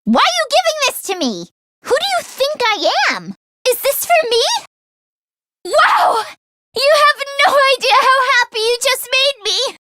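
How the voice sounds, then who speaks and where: A woman voicing an anxious, self-deprecating teenage girl mechanic in a video game, expressive and earnest. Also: very high-pitched, a little slow, heavy background noise, full character voice. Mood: surprised, delighted. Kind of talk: video game character voice acting.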